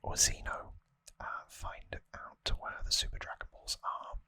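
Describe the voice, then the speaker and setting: ASMR host: unvoiced, sibilant-bright whisper, casual rambling delivery, very dry close-mic sound. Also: a little slow, no echo. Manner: hushed, relaxed, rambling casual explanation. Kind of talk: whispered ASMR trivia recap. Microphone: close microphone.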